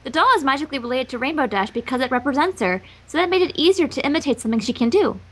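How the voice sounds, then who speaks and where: Woman voicing a quick, high-pitched brainy unicorn. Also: animated, a bit bright. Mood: pleased, modest. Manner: brisk, explanatory. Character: modest, brainy young female unicorn pony.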